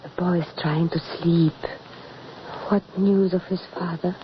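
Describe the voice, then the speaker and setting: An actress playing a gentle, motherly wife in an old-time radio drama, on a muffled, hissy recording. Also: lowish voice, steady tone, a little slow, natural voice. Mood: soothing. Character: gentle motherly wife comforting a frightened child.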